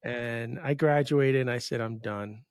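A man's voice: mid-range voice, measured pace, darkish tone, clear, resonant tone, no echo, clean studio sound. A man in his fifties from New York hosting a casual podcast interview, telling rambling stories and interrupting himself. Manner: rambling, storytelling, self-interrupting. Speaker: podcast host.

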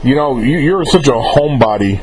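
A man's voice: mid-range voice, lively intonation, a little fast, a loud crowd, poor audio. A man hosting a casual interview, informal and rambling, often rephrasing his questions as he goes. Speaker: interviewer relaying fan questions.

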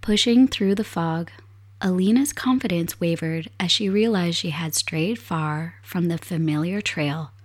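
A woman hosting a podcast, her voice raspy and hoarse from a cold, chatting casually and reading a story aloud in a soft, unhurried way from her desk at home. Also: on the low side. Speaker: podcast host. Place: home desk set up for streaming.

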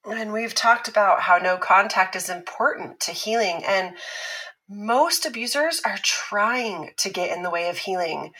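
An actress playing a calm, analytical woman expert who explains patiently and teases a little. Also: medium pitch, rough recording. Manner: measured, explanatory, lightly teasing. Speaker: expert on abuse recovery. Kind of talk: scripted podcast drama dialogue.

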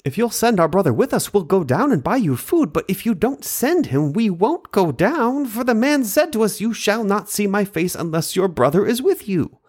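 A man doing a determined son, pitched up. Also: sing-song, moderate pace, few pauses, darkish tone, husky, exaggerated voice. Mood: urgent. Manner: urgent and pleading. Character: determined grown son pleading with father.

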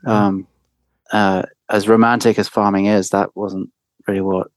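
A man from France, a winemaker guest, answering interview questions in a hesitant way with many restarts and soft laughs. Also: lowish voice, flat delivery, unhurried, dry recording. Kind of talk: conversational interview.

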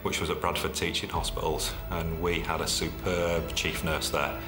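A man's voice: deep voice, level tone, normal speed. A middle-aged man with a Yorkshire accent, a healthcare executive giving frank, reflective answers in an interview. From Yorkshire, England. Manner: frank, plain-spoken, reflective. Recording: roomy room with music underneath.

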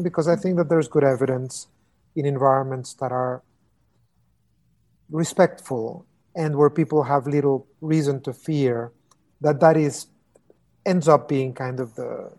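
A man from Costa Rica explains his views in a remote interview, heard through a video call connection. He speaks thoughtfully but haltingly, filling pauses with ums and repeating words as he works toward each point. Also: medium pitch, a little mellow. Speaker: interviewed human rights expert.

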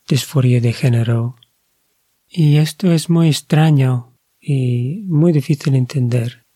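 A man's voice: medium pitch, warm tone, no room sound. A man hosting a podcast, speaking careful, earnest Spanish. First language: English. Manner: careful, earnest, slightly hesitant non-native Spanish. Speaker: podcast host. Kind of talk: slow reflective podcast monologue.

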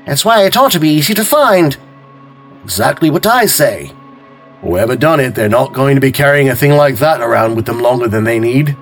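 A man voicing hungry, chatty policemen who talk in a casual, blunt way, with a husky voice. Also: mid-range voice, expressive, moderate pace, faint music behind, pitched above the performer's own voice, natural voice.

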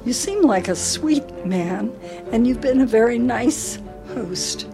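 An actress in a radio play performing a confused, volatile older wife with failing memory. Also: female voice, fairly high pitch, expressive, slow, over music. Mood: confused, childlike. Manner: agitated, swinging between accusation and childlike politeness.

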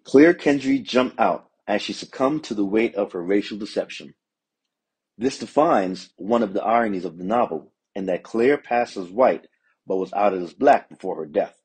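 Very husky man giving commentary. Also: lowish voice, expressive, normal speed, a little mellow, low-quality recording. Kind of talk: explanatory literary commentary.

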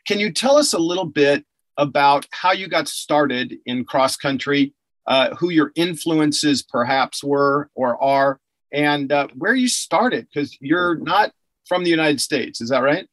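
A fairly high-voiced man hosting a casual interview. He talks in an eager, chatty way, piling up fillers and restarts, and the recording is dry and close. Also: expressive, measured pace, fairly bright tone, no echo. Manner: chatty, enthusiastic, many fillers. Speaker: podcast host interviewing athlete and coach.

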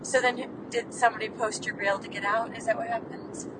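A woman's voice: fairly even intonation, brisk. Curious woman host asking in a car. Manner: curious, asks many short questions. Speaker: podcast host. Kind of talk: casual interviewing. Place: car on a road trip. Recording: distant mic, loud car rumble.

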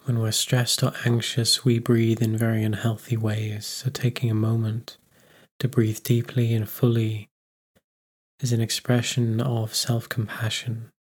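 A man guiding a meditation, giving gentle instructions with unhurried pauses. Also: mid-range voice, completely flat delivery, breathy edge. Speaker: meditation guide. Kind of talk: guided meditation narration.

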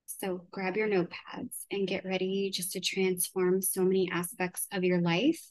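A woman hosting a solo podcast, earnest and chatty, slightly raspy and congested from allergies, often checking in with "right?". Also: medium pitch, little pitch movement, normal speed, no echo, poor audio. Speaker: podcast host. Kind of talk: casual solo podcast talk.

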